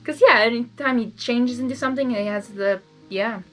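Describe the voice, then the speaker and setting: A woman reading fan fiction aloud as host, narrating and breaking into casual, expressive reactions. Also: on the high side, normal speed, faint music behind. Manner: expressive, animated asides.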